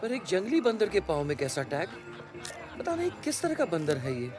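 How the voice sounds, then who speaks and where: An actor playing a curious, adventurous young man raised by monkeys in a Hindi cartoon dub, with an eager, expressive way of talking. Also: high-pitched, moderate pace. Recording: music behind the voice.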